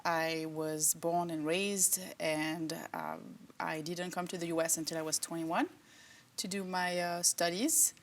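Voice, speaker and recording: A middle-aged woman from France, a professor answering interview questions in a relaxed, thoughtful way with many fillers. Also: on the low side, a little slow, a little hiss or hum. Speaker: agriculture professor and department chair.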